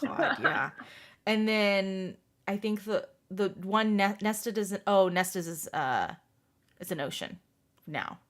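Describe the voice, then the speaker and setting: A woman's voice: mid-range voice, takes it slowly. Woman hosting a casual book chat. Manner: informal, says "like" often. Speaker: book discussion host. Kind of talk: casual group discussion.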